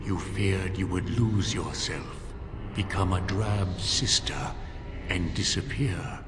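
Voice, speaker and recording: Deep, echoing guardian spirit. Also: male voice. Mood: solemn, probing. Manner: measured, probing, grave. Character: solemn ancient male guardian spirit of a holy shrine. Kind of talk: fantasy game voice acting. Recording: long echoing hall, music behind.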